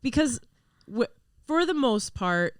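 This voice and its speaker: A woman's voice: a little high, very animated, slow, smooth, dry recording, clean studio sound. A woman comedian guest on a podcast who states her views firmly. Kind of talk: casual podcast chat.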